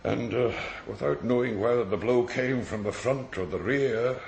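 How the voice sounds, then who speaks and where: An actor playing a dry, pedantic forensic pathologist in a radio drama, speaking in a precise, deliberate, expository way. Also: male voice, medium pitch, vocal fry, a little mellow, close to an everyday voice. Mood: measured, explanatory. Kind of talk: naturalistic radio drama acting. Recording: roomy echo, faint background noise.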